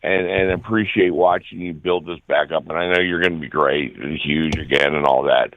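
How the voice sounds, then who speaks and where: Deep-voiced man on a phone line. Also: steady tone, moderate pace, a little hiss or hum. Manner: earnest, admiring. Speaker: phone-in caller. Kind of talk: casual radio call. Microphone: telephone. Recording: band-limited muffled phone line.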